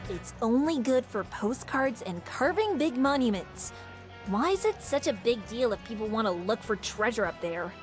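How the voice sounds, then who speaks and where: A woman's voice: a little high, lively intonation, moderate pace, faint music behind, natural voice. An actress playing a cocky, scornful young woman treasure hunter with a snide, self-assured delivery in a visual novel game. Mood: skeptical.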